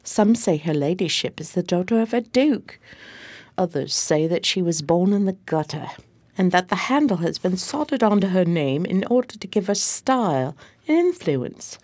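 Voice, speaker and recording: A woman's voice: lowish voice, big pitch swings, normal speed, darkish tone. A woman playing a loyal, deferential police assistant who tells the story in a radio drama, composed and polite. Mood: composed, confiding. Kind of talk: radio drama narration and dialogue. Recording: faint background noise.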